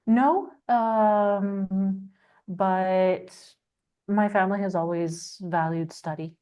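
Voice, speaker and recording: A woman's voice: mid-range voice, steady tone, extremely slow, dark tone, smooth. A woman from Colombia, a storyteller talking informally and reflectively about her craft.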